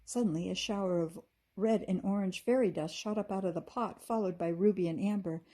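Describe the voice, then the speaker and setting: A woman with a husky voice reading a children's story aloud expressively, like a teacher to her class. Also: mid-range voice, fairly quick, dark tone.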